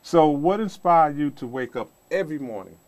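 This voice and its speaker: A man's voice: fairly high pitch, animated, normal speed, a little mellow. A middle-aged man hosting an informal studio interview, talking in a rambling, repetitive way. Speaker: talk show host. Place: small indoor TV studio.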